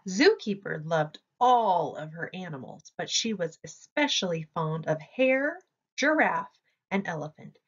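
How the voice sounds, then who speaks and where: A woman reads a children's picture book aloud. She goes slowly and deliberately, with plenty of movement in her pitch and an expressive storytelling delivery. The sound is slightly dull. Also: slightly rough. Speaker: storybook reader.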